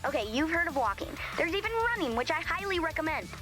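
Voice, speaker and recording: A woman's voice: sits high, expressive, fast, over music. An actress voicing a blunt, sardonic young woman in a spooky video game, with dry, teasing delivery.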